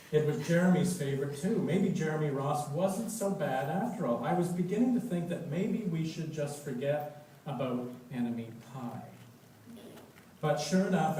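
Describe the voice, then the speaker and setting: A man reading a story aloud to children in an expressive, storytelling way. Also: on the high side. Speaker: story reader leading children at a church. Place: large echoey indoor church space. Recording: echoey room, distant mic, faint noise.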